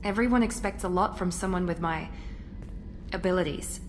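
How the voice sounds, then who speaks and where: A woman's voice: on the low side, moderate pace, a little hiss or hum, lightly acted. A woman voicing a supremely self-assured operative in a video game, businesslike, formal and matter-of-fact. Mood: guarded. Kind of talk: video game dialogue acting.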